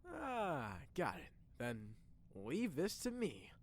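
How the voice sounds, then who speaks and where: A man playing a brash, self-deprecating young man in an animated, expressive anime dub style. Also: on the high side, slow pace, a little hiss or hum, full character voice. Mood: confident.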